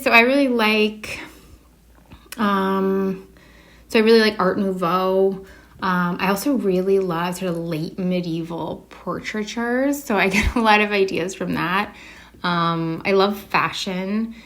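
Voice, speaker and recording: A woman's voice: medium pitch, steady tone, slow pace, fairly bright tone. A woman with a Canadian accent giving casual, unscripted interview answers, a bit hesitant as she thinks aloud. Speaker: artist being interviewed.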